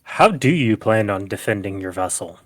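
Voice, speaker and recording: A man's voice: fairly low pitch, animated, normal speed, no echo, studio quality. A man talking casually as a player in a tabletop game.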